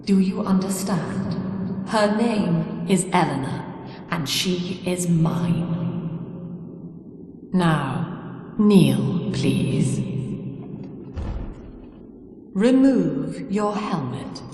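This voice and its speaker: A woman playing a cold, commanding psychiatrist and cult leader in a video game, speaking in measured, solemn oratory. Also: lowish voice, lively intonation, mellow, slight background noise. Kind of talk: scripted dramatic game dialogue. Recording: echoey reverberant hall, music behind.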